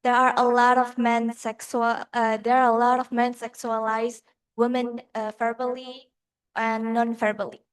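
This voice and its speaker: A woman's voice: fairly high pitch, flat delivery, measured pace, clear, resonant tone. A woman in the audience politely asking a lecturer a formal question.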